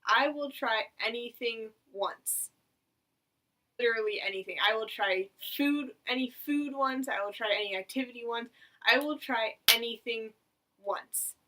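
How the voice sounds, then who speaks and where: A young woman around eighteen chats to her camera in a chatty, rambling way and pokes fun at herself. Her voice is bright and forward. She sits a little back from the mic, so she sounds slightly distant. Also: a little high, a little slow. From United States. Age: about 18. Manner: chatty, rambling, self-mocking. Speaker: vlogger. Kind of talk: casual talk to camera.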